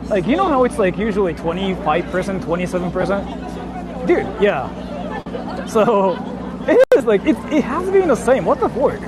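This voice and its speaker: Young male live streamer, Japanese accent, high voice, loose sweary chat, noisy busy street. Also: big pitch swings, moderate pace. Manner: repeats himself, informal, swears freely. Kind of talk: casual live-stream chat, answering viewer comments. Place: outdoors on a busy city street at night. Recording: street noise, traffic and crowd.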